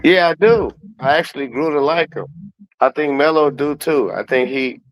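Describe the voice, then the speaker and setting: A man's voice: a little high, moderate pace, rough recording. A man giving his opinions on sports in casual, slangy, profane talk, often repeating words to make his point. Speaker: guest commentator.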